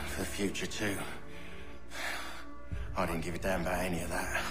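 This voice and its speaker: Low-voiced, cynical mercenary thug. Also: male voice. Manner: coarse, slangy working-class speech. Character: cynical mercenary thug, coarse and self-serving, middle-aged man. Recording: roomy echo with background music.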